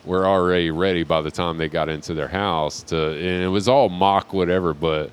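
A man's voice: low-pitched, fairly even intonation, normal speed, clear, resonant tone. A young man in casual podcast chat, laid-back and profane, rambling with restarts. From Pensacola area, Florida.

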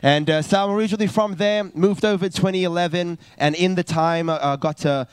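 A man's voice: high voice, steady tone, a little fast, clear, resonant tone. A man in his thirties, a youth pastor preaching a sermon from a church stage, earnest, with frequent uh's and restarts. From South Africa. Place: church stage, live service.

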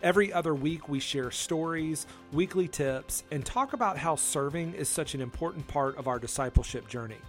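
A man's voice: fairly high pitch, moderate pace, few pauses, faint music behind. A man hosting a church volunteer podcast, upbeat and encouraging as he talks with a guest.

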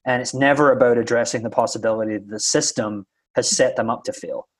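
A man with a softened Scottish accent gives his opinion earnestly in conversation, checking in with short tag questions. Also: mid-range voice, fairly even intonation, fast talker. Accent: Scottish, somewhat flattened. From Scotland.

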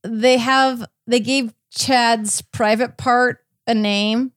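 A woman's voice: on the high side, steady tone, very slow, no room sound. Chatty woman podcast host, close dry sound. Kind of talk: casual conversational recap of a court case.

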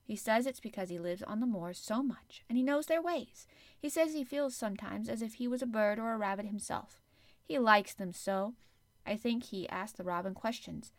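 A woman's voice: a little high, normal speed, no echo, lightly acted. Storybook role: outspoken little girl, read by a woman, obstinate yet enthusiastic, frank delivery, dry studio-like closeness. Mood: earnest, enthusiastic. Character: earnest, stubborn, outspoken young girl. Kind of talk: audiobook character acting.

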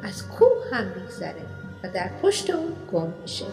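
A woman narrating a children's radio play in an expressive storybook style. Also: medium pitch, gravelly, loud music behind the voice. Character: storyteller of a children's fantasy tale.

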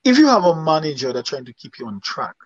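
A man's voice: fairly high pitch, sing-song, fast talker, no room sound. Man, Dominican accent, casual interview guest, repeats himself, muffled lo-fi sound. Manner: repetitive, often asks "you understand?". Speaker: singer guest.

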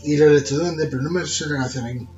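A man's voice: on the high side, fast, a little mellow. A man in his forties from La Mancha in Spain, with a La Mancha Spanish accent, co-hosting a podcast and joking around. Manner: joking, teasing, hums song lines. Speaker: podcast co-host. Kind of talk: casual chat about childhood TV songs. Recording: dull lo-fi sound with faint music behind.

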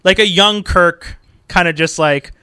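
A man hosting a casual movie review chat, rambling with restarts and quick laughs. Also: high voice, sharp tone, smooth, dry recording, studio quality. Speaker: podcast host.

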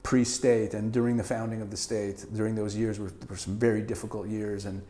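A middle-aged man from Canada, a guest in a casual interview, talking in an animated way with lots of stammered restarts and easy laughter. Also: on the low side, a little fast.

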